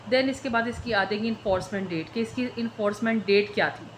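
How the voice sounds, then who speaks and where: A woman's voice: medium pitch, brisk, slight background noise, rough recording. A woman with a Pakistani accent giving an informal law lecture, switching between Urdu and English terms as she explains. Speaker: law teacher.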